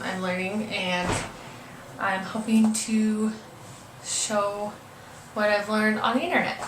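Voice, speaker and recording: A woman's voice: mid-range voice, a bit bright. A young woman with a congested, stuffed-up voice talks casually and hesitantly, apologizing often as she works through a makeup tutorial. She sits some distance from the camera mic in a home room that rings with echo, and a faint hiss runs underneath. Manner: chatty, hesitant with many ums, apologetic. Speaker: beauty vlogger.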